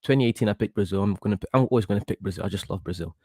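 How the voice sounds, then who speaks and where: A young man from Portugal, about eighteen, hosting a casual football watchalong stream in an excitable, reactive way and often repeating himself. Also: lowish voice, very fast, no echo, studio quality. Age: young adult, about 18. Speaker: livestream host.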